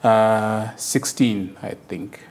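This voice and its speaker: A man's voice: lowish voice, extremely slow, a little mellow. A man from Zimbabwe, a company's country manager, answering interview questions in a hesitant way with frequent fillers and restarts.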